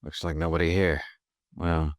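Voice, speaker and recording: An actor playing a brisk, wisecracking male insurance investigator in a 1950s radio drama, narrating his own case in a clipped, dry way. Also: very deep voice, level tone, no echo. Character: hard-boiled male insurance detective, brisk and wry.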